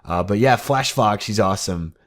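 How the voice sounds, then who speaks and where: A man's voice: on the low side, moderate pace, a bit bright. An eighteen-year-old man hosting his own game channel, talking casually and rambling upbeat with lots of fillers. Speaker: gaming channel host. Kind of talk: casual Minecraft commentary.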